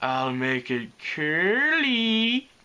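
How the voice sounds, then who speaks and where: A young female storybook pony, voiced by a man, high and lively. She coaxes and teases her friend with dry sarcasm. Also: normal speed, highs cut off. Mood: coaxing.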